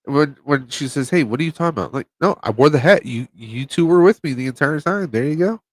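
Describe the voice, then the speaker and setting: A man with a stuffed-up nose, guesting on a podcast from home and talking in a halting, casual way with many fillers and restarts. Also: on the high side, animated, dry recording. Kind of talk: casual chat.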